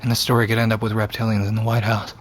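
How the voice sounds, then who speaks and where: A young man playing a guarded, sardonic character, terse and deadpan, in a naturalistic audio drama. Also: fairly low pitch, rapid-fire, close to an everyday voice.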